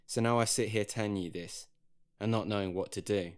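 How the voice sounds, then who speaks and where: A man with a low-pitched voice narrates a scripted personal story. He is wry and self-deprecating, spinning out wordy sentences as a comic monologue. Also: steady tone, a little fast, smooth.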